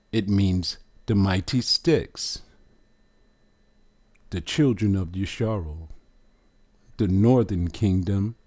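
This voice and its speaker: Slow, emphatic man teaching. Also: low voice, a little mellow. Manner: emphatic, drawn-out words with long pauses. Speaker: religious teacher.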